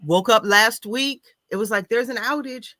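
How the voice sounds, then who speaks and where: A woman's voice: a little high, normal speed, bright, forceful tone, no room sound. A woman co-hosting a casual livestream, upbeat and chatty as she tells stories about her week. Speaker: co-host.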